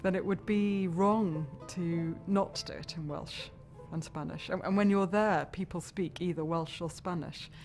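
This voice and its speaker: A woman's voice: lowish voice, steady tone, unhurried, few pauses, darkish tone, soft background music. A woman filmmaker in a documentary interview, explaining a decision earnestly.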